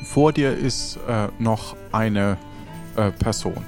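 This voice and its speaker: A man's voice: lowish voice, slow pace, over music. A man leading an improvised audio role-play as game master, narrating and prompting the player.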